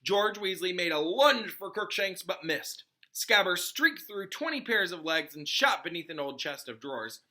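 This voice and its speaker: A man reading a children's book aloud as a teacher, with expressive narration aimed at young listeners. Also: high voice, normal speed, hardly any pauses. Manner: explains hard words in simpler terms.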